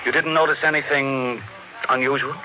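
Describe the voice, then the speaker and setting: A man's voice: mid-range voice, fairly even intonation, moderate pace, music in the background, close to an everyday voice. An actor playing a worried, stern middle-aged father in a 1950s radio drama. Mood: troubled. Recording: narrow, roomy vintage radio recording.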